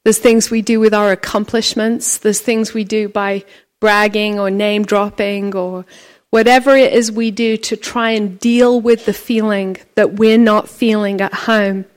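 A woman with a loud voice giving a chatty, storytelling talk as a speaker at a faith conference. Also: mid-range voice, measured pace. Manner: chatty, animated storytelling with acted quotes. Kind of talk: conference sermon.

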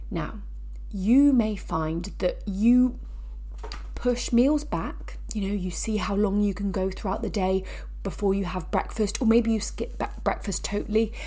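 A woman hosting her own fitness podcast, her voice blocked and nasal from a recent cold. She talks in a loose, chatty way, often restarting sentences and tossing in asides, at an ordinary middle pitch. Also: darkish tone. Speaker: podcast host. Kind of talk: casual educational podcast talk.

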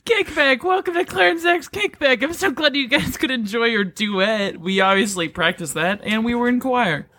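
Playful woman with a low voice. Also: fairly bright tone, dry recording. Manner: playful, laughs often, quick asides. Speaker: podcast co-host. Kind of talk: casual chat.

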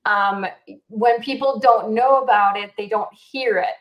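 A woman's voice: medium pitch, steady tone, measured pace, lo-fi sound. Woman w/ a slight south african accent, chatty, lots of ums and little laughs. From Israel. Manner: chatty, laughs easily, many fillers. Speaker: realtor and guest. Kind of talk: casual interview answers.

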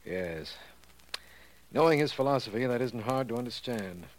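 An actor playing an earnest, impressed district attorney, asking questions in 1940s radio drama style. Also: male voice, mid-range voice, expressive, normal speed, natural voice, rough recording. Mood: earnest, inquiring. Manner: earnest, inquiring.

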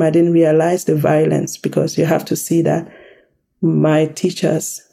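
A woman from Cameroon, a guest in an interview, telling her life story slowly and reflectively with emphasis. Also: sits low, creaky, mellow.

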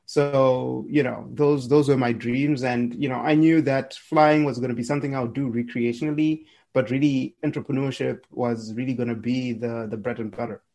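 A man's voice: mid-range voice, fairly even intonation, moderate pace, gravelly, few pauses, a little mellow. A man, a podcast guest telling his story in an upbeat, chuckling way, often restarting words. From Zimbabwe. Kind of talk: casual storytelling interview.

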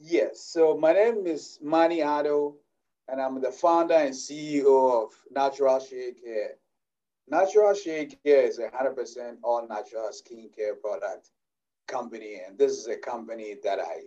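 A man with a Ghanaian accent, a company founder answering interview questions in a measured way that trails off at the ends of phrases. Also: medium pitch, dark tone, recorded from a distance, muffled recording. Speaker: business founder guest.

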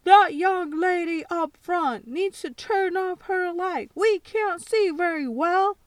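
Woman playing a little girl, about four, dreamy, high voice, unhurried, dry slightly distant recording. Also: no room sound. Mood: wistful.

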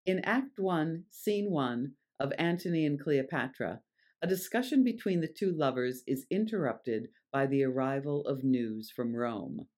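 A man's voice: medium pitch, a little slow, darkish tone. A man reading scripted, explanatory introductions as a narrator.

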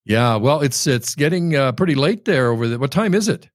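A man's voice: medium pitch, animated, brisk, no echo. Chatty male podcast host, dry sound. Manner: friendly, chatty, reads a guest introduction. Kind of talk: conversational interview hosting.

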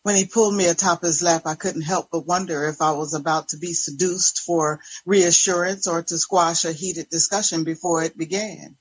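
A woman's voice: on the low side, fairly even intonation, normal speed, few pauses, slight background noise, close to an everyday voice, low-quality recording. A woman performing a dramatic story reading as a wary, exasperated pastor's wife telling her own story. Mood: reflective. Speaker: dramatic reader.

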